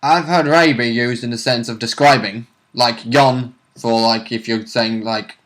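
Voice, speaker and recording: A man's voice: mid-range voice, steady tone, measured pace, a bit bright. A man, a co-host chatting casually and chipping in with reactions and asides. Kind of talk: casual chat, reacting and agreeing.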